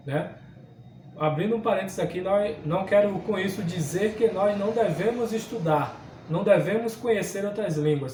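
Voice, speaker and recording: A man's voice: high-pitched, warm tone, echoey room, distant mic, slight background noise, poor audio. A man from southern Brazil teaching a Sunday school Bible lesson, earnest and explanatory, often checking in with his listeners. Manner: earnest teaching, frequent tag questions. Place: church room.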